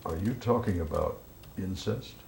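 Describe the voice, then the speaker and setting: A police interrogator in a naturalistic crime film. His voice is a little low and he keeps it measured and calm, persistently probing with quiet skepticism. Faint noise is audible behind him. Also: vocal fry.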